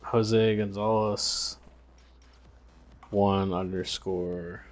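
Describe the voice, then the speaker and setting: Male game streamer, low voice, slow casual slangy talk, half to himself, soft music and typing behind. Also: little pitch movement. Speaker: livestreamer playing a text adventure game. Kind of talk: casual gaming stream chat.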